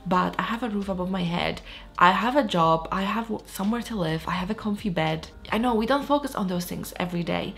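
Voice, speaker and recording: A woman's voice: medium pitch, a little fast, a bit bright, soft background music. A woman in her thirties from Poland, giving frank, blunt motivational talk straight to camera. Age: 30s. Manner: frank, blunt, swears casually. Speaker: lifestyle vlogger.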